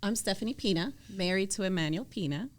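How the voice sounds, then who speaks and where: A woman's voice: medium pitch, quick pace. A middle-aged woman from New York City, born in Ecuador, talking conversationally as a guest in an interview. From born in Ecuador, raised in New York City. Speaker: podcast guest, mortgage lender.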